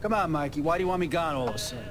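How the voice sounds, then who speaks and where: An actor playing a menacing, betrayed gangster in a video game, profane, taunting and sardonic. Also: male voice, a little high, expressive, very fast, natural voice. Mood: angry, threatening. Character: menacing, betrayed male gangster running an interrogation. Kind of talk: dramatic video game cutscene acting. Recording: background music under the dialogue.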